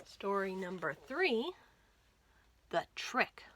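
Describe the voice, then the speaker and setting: A woman in her thirties reading a picture book aloud to children, with careful, expressive storytelling. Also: mid-range voice. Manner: slow, careful, expressive delivery for young children. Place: small indoor room lined with bookshelves.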